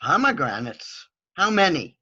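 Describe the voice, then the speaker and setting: Man acting a forgetful old husband, sharp voice. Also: a little high, big pitch swings, unhurried, breathy edge, dull audio, heavily acted. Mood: impatient. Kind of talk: stage play reading over video call.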